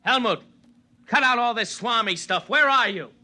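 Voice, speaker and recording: An actor playing a combative, insistent young physicist in a 1950s radio drama. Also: male voice, very high-pitched, lively intonation, normal speed, sharp tone, slight background noise, exaggerated voice. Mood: indignant. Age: young adult. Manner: insistent, argumentative.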